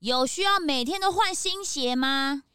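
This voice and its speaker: Woman as a bright, high-voiced detective's assistant. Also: expressive, a little slow, no room sound, clean studio sound, full character voice. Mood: skeptical. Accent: Taiwanese Mandarin. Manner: questioning, straightforward. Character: frank, helpful young detective's assistant Peanut. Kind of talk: children's detective radio play acting.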